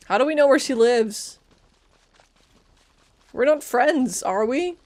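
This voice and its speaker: A woman's voice: high-pitched, takes it slowly, darkish tone. A woman game streamer giving casual, offhand live commentary as she plays.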